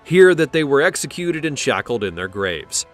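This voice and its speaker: A man's voice: medium pitch, very animated, moderate pace, soft background music. A man reading a scripted countdown narration in a dramatic storytelling way, with wry conversational asides.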